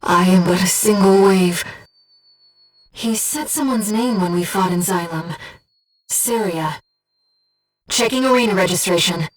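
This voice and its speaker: A woman voicing a gentle, earnest, disembodied female companion in a video game, guiding a pilot with composed, precise callouts and briefings. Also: medium pitch, measured pace, long pauses, distant mic, lightly acted, low-quality recording. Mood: tender, solemn. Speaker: mission support companion.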